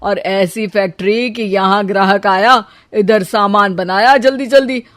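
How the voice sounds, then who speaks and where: A glib young husband from a cartoon moral story, voiced by a woman, boasting and slipping out of trouble with hesitant excuses. The voice sits at an ordinary female pitch, in a very dry recording. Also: fairly even intonation, a little slow, no room sound, lightly acted. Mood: evasive. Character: boastful, evasive young husband telling slippery half-truths.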